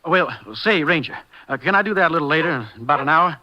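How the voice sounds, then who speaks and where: An actor playing a friendly, chatty rural neighbor in a 1950s radio drama. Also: male voice, on the high side, lively intonation, quick pace, pushed and bright. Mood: easygoing. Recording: muffled old radio broadcast.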